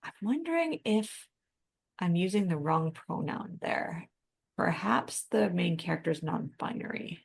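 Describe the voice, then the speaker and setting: A woman with a lowish voice and a noticeable creak co-hosts a podcast. She chats informally and reflectively, thinking her points through as she goes. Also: animated, unhurried. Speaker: podcast co-host. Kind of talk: casual podcast discussion.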